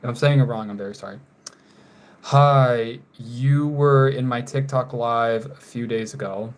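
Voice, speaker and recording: A young man from North Carolina chatting casually on a live stream while drawing. He often trails off quietly and apologizes for mispronouncing viewers' names. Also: mid-range voice, measured pace. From North Carolina, USA.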